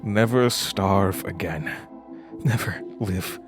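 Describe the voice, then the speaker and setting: An actor voicing a cruel, gloating entity that speaks through its puppet, quiet and taunting, in a horror audio drama. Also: male voice, deep voice, slow pace, music in the background. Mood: gloating menace.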